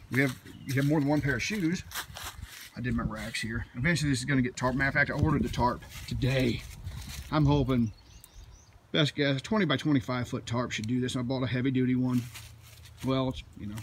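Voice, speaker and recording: A man's voice: mid-range voice, noisy background. A middle-aged man from Missouri, rambling proudly and folksily while walking around outdoors with his phone camera. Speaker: vlogger showing his canoe rack. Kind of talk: casual off-the-cuff walkaround. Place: outdoors in rural woods. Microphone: phone camera held while walking.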